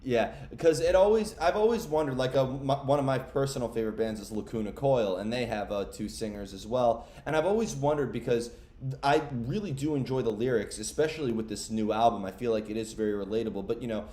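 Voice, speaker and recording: A man's voice: medium pitch, a little fast, dark tone. A male interviewer asking long, rambling questions, packed with "uh" and "you know.". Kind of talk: casual interview. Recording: echoey room.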